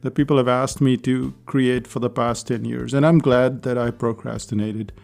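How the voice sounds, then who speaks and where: A man hosting a casual solo podcast, chatty and persuasive, with his voice hoarse and going. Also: medium pitch, steady tone, normal speed, a little mellow, faint music behind. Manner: chatty, persuasive, with small laughs. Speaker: podcast host.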